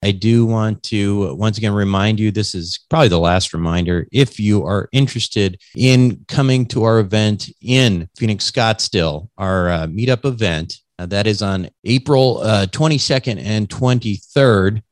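Brief: man from Minnesota, podcast host, lowish voice, dry close recording, relaxed delivery. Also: moderate pace, few pauses, dry recording. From Minnesota, USA. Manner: relaxed, with frequent "uh" fillers. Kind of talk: casual podcast hosting.

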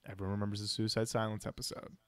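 A man's voice: fairly low pitch, level tone, racing, fairly bright tone, clear, resonant tone, no room sound, studio quality. A man co-hosting a podcast, chatting informally with wry asides and reading out listener comments. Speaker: podcast co-host. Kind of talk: casual podcast chat, reading out listener feedback.

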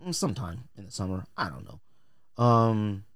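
Podcast host: American man, slangy and opinionated, playful and blunt, highly expressive pitch, dry close recording. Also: moderate pace, no room sound. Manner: slangy, blunt, joking, theatrical delivery. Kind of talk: casual opinionated podcast commentary.

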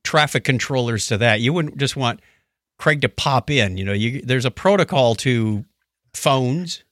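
A man hosting a podcast in casual chat, telling stories in an animated way and acting out what others said. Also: mid-range voice, a little slow, dry recording, studio quality. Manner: animated storytelling, acts out quotes. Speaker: podcast host.

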